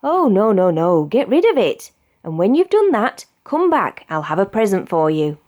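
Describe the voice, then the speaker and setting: A woman's voice: medium pitch, big pitch swings, moderate pace, darkish tone, close to an everyday voice. A woman with a British accent voicing a big, snappy male crocodile in a children's storybook, in an emphatic way. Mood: relieved, eager.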